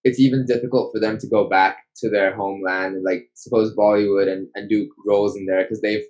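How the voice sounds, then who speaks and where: A man's voice: fairly low pitch, fairly even intonation, a little fast, a little mellow. A man hosting an interview, asking long rambling questions and agreeing briefly. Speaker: interview host. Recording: dull lo-fi sound.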